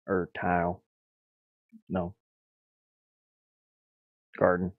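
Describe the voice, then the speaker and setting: A man thinking aloud on a casual livestream as he works, muttering in a halting, absent-minded way. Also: low voice, completely flat delivery. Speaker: livestreamer, hobby game developer. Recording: boxy echo, thin phone-like sound.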